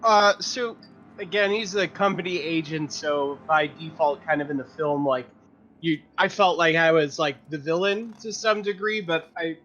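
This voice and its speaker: Male game player, slightly higher voice, informal and rambling, muffled lo-fi recording with faint noise. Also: normal speed, fairly bright tone, faint music behind. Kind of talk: casual game debrief, sometimes reading notes aloud. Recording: dull lo-fi audio with faint noise.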